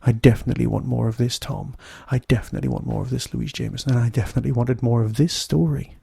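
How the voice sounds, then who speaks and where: Male podcast reviewer, gravelly low-key warmth in the tone, dry close recording, wry emphatic delivery. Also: steady tone, fast talker, dry recording. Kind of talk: solo podcast review monologue.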